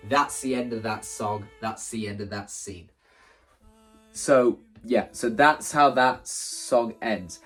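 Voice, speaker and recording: A young man talking casually and explaining his creative ideas in an animated, theatrical way. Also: mid-range voice, takes it slowly, recorded from a distance, soft background music. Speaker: video creator presenting a stage play concept.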